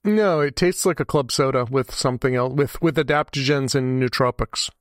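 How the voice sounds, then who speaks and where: A man's voice: mid-range voice, moderate pace, no echo, clean studio sound. A man co-hosting a casual podcast, laughing often and rambling through stories. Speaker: podcast co-host. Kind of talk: casual chat.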